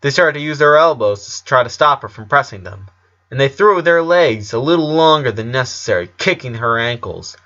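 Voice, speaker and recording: A man's voice: mid-range voice, animated, a little fast, sharp tone, lo-fi sound. A man reading a scripted story aloud as an audiobook narrator.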